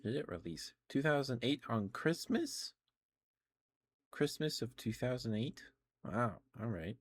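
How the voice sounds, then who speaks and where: A man streaming ASMR close to the microphone, chatting casually and sometimes whispering, in a halting, rambling way. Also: mid-range voice, expressive. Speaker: ASMR livestreamer. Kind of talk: ASMR livestream, casual chat with whispered passages.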